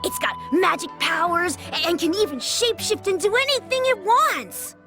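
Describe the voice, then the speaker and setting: A woman's voice: extremely high pitch, sing-song, moderate pace, over music. A woman voicing an eager, stubborn village child who insists the monster is real. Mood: insistent.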